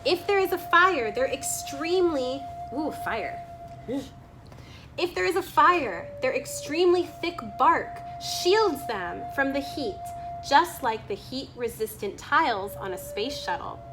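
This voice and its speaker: A woman's voice: sits high, animated, over music, poor audio. A woman reading a children's book aloud, stopping to add her own offhand remarks about the pictures. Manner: pauses to remark on the illustrations. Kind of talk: read-aloud with spontaneous comments on pictures.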